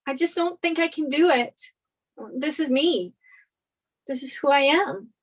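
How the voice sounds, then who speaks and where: A woman's voice: sits high, measured pace. A woman playing a spoiled, anxious young rich woman, wistful and hesitant, in a sitcom table read. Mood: anxious, reluctant.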